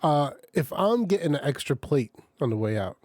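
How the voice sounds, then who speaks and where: A man's voice: a little high, normal speed, no room sound. A man hosting a casual podcast, swearing freely and laughing a lot as he explains how he packs his leftovers. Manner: animated storyteller, swears often, laughs a lot. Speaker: podcast host. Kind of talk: casual chat.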